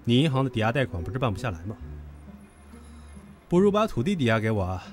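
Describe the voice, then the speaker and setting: A man's voice: mid-range voice, sing-song, quick pace, natural voice. An actor playing a brash, possessive, hot-tempered young man in an audio drama, goading and domineering. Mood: smug, goading. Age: young adult. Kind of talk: Chinese audio drama acting. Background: background music.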